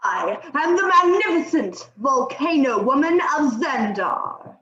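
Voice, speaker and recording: A woman's voice: high voice, sing-song, slow, exaggerated voice. A woman playing a commanding, grandiose alien volcano ruler in a campy sci-fi radio play, on a dull recording with crowd noise. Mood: imperious.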